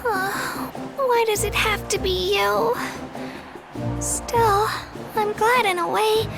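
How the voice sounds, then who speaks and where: A woman's voice: very high-pitched, steady tone, takes it slowly, creaky, over music, heavily acted. A woman voicing an earnest, self-doubting young girl warrior in an anime game dub, hesitant and timid. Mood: nervous. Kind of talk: dubbed anime game cutscene acting.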